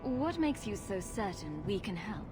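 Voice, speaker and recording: Young female adventurer, mid-pitched, sardonic, doubting, video game dialogue, loud backing music. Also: very animated, measured pace. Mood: sceptical.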